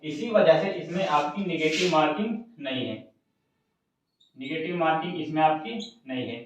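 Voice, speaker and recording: A man's voice: a little high, moderate pace. A man giving informal exam guidance to students in an instructive way, repeating points for emphasis. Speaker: exam coaching YouTuber. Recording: very echoey room, distant mic, muffled.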